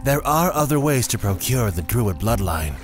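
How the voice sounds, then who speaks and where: Male actor as cautious fantasy clan leader, deliberate delivery, mid pitch, audio drama, faint music. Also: sing-song, natural voice. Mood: calculating.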